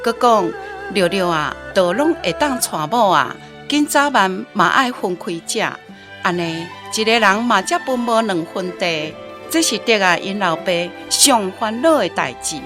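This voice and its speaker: A woman's voice: medium pitch, expressive, unhurried, natural voice. Woman acting a Taiwanese village woman over music. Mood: concerned. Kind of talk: radio drama dialogue in Taiwanese Hokkien.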